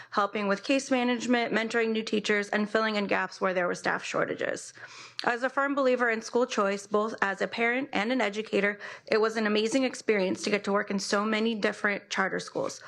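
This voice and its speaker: A woman's voice: medium pitch, vocal fry, fairly bright tone. A middle-aged woman with an American accent, reading a prepared statement at a public meeting. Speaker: school staff director speaking as public commenter.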